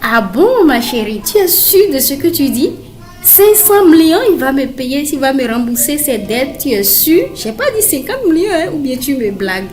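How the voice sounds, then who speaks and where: A woman's voice: high voice, big pitch swings, moderate pace, darkish tone, soft background music. A woman voicing a middle-aged, money-hungry, proud mother of a bride in a cartoon, exclaiming and self-important. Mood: incredulous, relieved.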